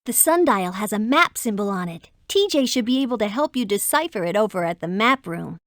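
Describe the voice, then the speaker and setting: A woman's voice: medium pitch, animated, brisk, a bit bright, no room sound, studio quality, lightly acted. A woman voicing a decisive, wisecracking young adventurer who leads a team in a children's computer game. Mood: confident, wry. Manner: decisive, slangy.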